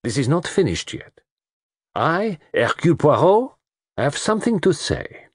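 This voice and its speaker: A commanding detective with a French accent. He is deliberate, precise and theatrical, his husky voice lifting and falling widely, recorded very dry, in storybook style. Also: mid-range voice, sing-song, dry recording, voice pushed up. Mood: triumphant, precise.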